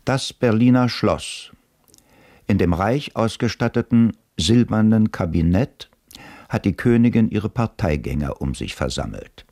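Slow, gravelly male narrator. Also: fairly low pitch, animated, darkish tone, no echo, clean studio sound. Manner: measured, scene-setting. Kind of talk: scripted radio drama narration.

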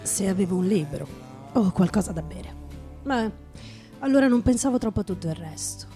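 A woman playing a world-weary, intense male writer in a theatrical radio reading, halting and repeating phrases. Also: medium pitch, lively intonation, mellow, faint music behind, natural voice. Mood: wry, weary. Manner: halting dramatic delivery with repeated phrases and pauses. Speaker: stage actress performing a literary monologue.

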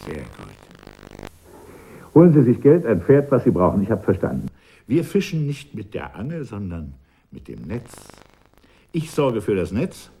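Gravelly, cynical older official. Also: male voice, lowish voice, huge pitch swings, a little slow, pauses often, dark tone, exaggerated voice. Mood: brisk, shrewd. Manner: sardonic, musing, savouring his words. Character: cynical, amused older male high official. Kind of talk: German radio drama acting.

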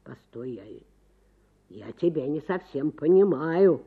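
A woman plays an exasperated, doubting husband in a literary story. The voice drops well down and turns husky, grumbling and stammering over repeated words. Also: low voice, lively intonation, slow pace, phone-quality sound, voice pushed down, heavily acted. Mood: puzzled. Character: doubting, mumbling, exasperated middle-class husband.

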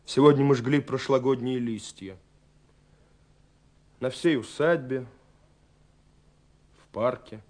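An actor playing a lovelorn, wistful young man reading his letters in a radio play, brooding and dramatic, moving between hushed and impassioned. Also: mid-range voice, takes it slowly, long pauses, natural voice. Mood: reflective. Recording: hissy 1970s radio recording.

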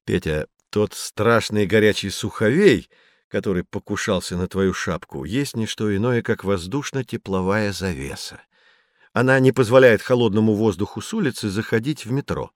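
A man's voice: lowish voice, normal speed, no echo, clean studio sound, lightly acted. A man playing a kindly, patient professor explaining things to children in a scripted educational audio play. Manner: instructive, encouraging.

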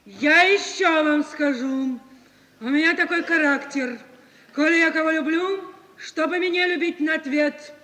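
A woman's voice: sits high, unhurried, sharp tone. An actress playing a coy yet bossy rich provincial merchant woman with folksy, uneducated phrasing in a 1950s Soviet radio play. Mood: demanding. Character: coquettish, blunt rich provincial merchant woman seeking a husband. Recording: old hiss, long hall reverb.

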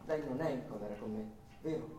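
An actor playing an anxious, over-polite bank clerk on trial in an Italian radio drama, agitated, insistent and deferential. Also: male voice, mid-range voice, fairly quick, very noisy, natural voice. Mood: hushed, pleading. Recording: roomy, distant stage sound with music.

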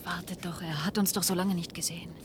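A woman's voice: on the low side, steady tone, fast talker, noisy background, close to an everyday voice. An actress playing an anxious, earnest adult daughter pleading for her father in a science-fiction radio play. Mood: hushed, wistful. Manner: pleading, earnest, often hushed.

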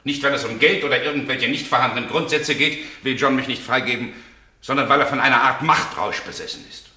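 An actor in a German radio play as a proud, resentful stage star, speaking in a pointed, articulate way. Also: male voice, mid-range voice, fairly quick, bright, forceful tone. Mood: bitter, sarcastic. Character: resentful, proud male stage actor defying his partner. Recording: roomy, echoing studio sound.

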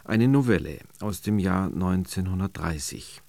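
A man with a low, creaky voice presents a programme as a radio announcer. He reads from a script in a dry, close studio sound. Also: expressive, a little slow, a little mellow, no room sound.

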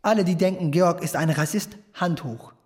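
A man's voice: fairly high pitch, moderate pace, darkish tone, close to an everyday voice. A young man playing a bitter, provocative teenage schoolboy in a German radio drama, curt and confrontational.

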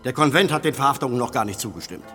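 A man's voice: medium pitch, lively intonation, racing, sharp tone, big-room sound, soft background music. An actor playing an indignant, sarcastic official standing his ground in a radio drama. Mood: scornful protest. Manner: sarcastic, protesting.

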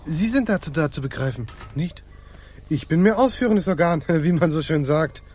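An actor playing a deferential, uneasy pirate underling in a radio play, halting and servile in his delivery. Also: on the high side, expressive, darkish tone. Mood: uneasy, obsequious. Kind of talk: stage-like radio play acting.

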